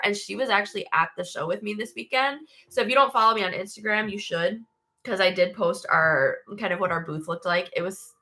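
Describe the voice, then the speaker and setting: A woman with a cold and a stuffy, nasal voice, hosting a casual livestream in a bubbly, excitable way. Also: a little high. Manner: bubbly, excitable, many fillers. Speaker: livestream host selling merch. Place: home studio.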